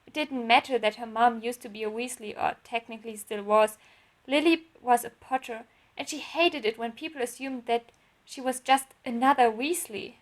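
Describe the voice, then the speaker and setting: A woman's voice: on the high side, normal speed. A woman reading her own written story aloud as a narrator, in an audiobook style.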